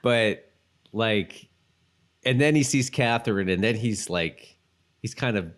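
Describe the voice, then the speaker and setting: A man's voice: on the low side, expressive, slow pace. A man co-hosting a casual podcast about a TV show, thinking aloud in loose, rambling fragments. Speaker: podcast co-host. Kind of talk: casual chat, commentary on a TV show.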